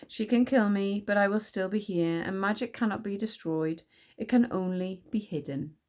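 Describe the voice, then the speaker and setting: Woman reading as a calm, sardonic enchanter king. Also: mid-range voice, normal speed, close to an everyday voice. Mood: calm defiance. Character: wry, composed male enchanter king.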